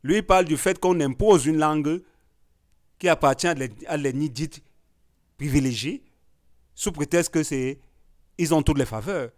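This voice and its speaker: A man's voice: fairly high pitch, normal speed, pauses often. A man from Cameroon, an artist arguing hard in a panel discussion. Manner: emphatic, rising to forceful declarations.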